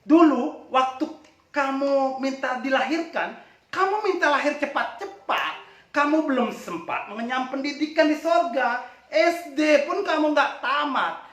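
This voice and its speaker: A folk-tale wind god, acted by a man, lectures like a strict but helpful mentor. The voice is high and very lively, with emphatic delivery, set back in a reverberant room. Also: measured pace, exaggerated voice. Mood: surprised scolding. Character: male wind god, authoritative, scolding yet helpful mentor. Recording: echoey room, distant from mic.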